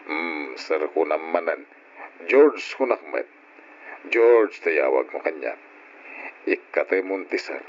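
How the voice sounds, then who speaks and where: An actor playing a domineering, wealthy older suitor with an Ilocano accent, in old radio drama style. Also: male voice, very deep voice, expressive, slow, long pauses, exaggerated voice. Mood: insinuating, insistent. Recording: dull lo-fi radio drama recording.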